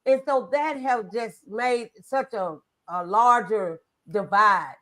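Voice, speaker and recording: A woman with a fairly high voice hosts a motivational talk show. She talks conversationally, with earnest emphasis on her points.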